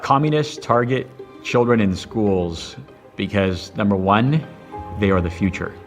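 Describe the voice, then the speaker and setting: A man's voice: on the low side, measured pace, soft background music. A man from Romania speaking matter-of-factly in an interview. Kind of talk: documentary interview.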